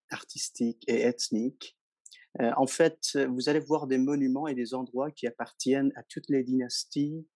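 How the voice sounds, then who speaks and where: Slow, hesitant Iranian tour guide. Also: male voice, medium pitch, darkish tone, no echo. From Iran. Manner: slow, hesitant, many fillers. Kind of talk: webinar presentation.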